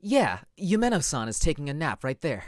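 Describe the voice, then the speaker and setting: A woman's voice: deep voice, very animated, brisk, no room sound, clean studio sound. A woman voicing a casual, teasing anime youth in a comic dub, laid-back and wry.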